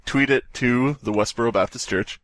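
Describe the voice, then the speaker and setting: A man's voice: medium pitch, normal speed, fairly bright tone. A man co-hosting a casual comedy podcast, reading horror stories aloud with playful joking asides. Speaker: podcast co-host.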